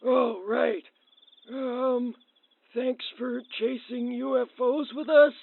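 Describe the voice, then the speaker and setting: A man's voice: very high-pitched, fairly even intonation, takes it slowly, gravelly, full character voice. A man playing a meek, friendly, hapless husband behind a camera, hesitant, apologetic and naively polite. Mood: eager, awkward. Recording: thin phone-like camcorder audio.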